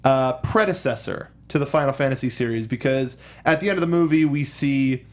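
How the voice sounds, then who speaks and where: A man co-hosting a casual film discussion, speaking in a halting, rambling way and often circling back to rephrase with enthusiasm. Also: medium pitch. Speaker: co-host of a film discussion show.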